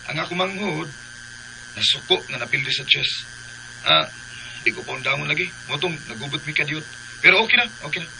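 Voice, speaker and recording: An actor playing a pushy, cheeky young suitor with a Filipino accent in a radio drama. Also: male voice, on the high side, animated, normal speed, creaky, pauses often, noisy background. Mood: flustered.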